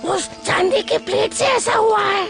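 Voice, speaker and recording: An actor voicing a small, put-upon cartoon monkey in a squeaky, pleading way. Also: unhurried, vocal fry, faint music behind, full character voice. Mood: pleading, complaining. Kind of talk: Hindi cartoon voice acting. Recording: loud noise behind the voice.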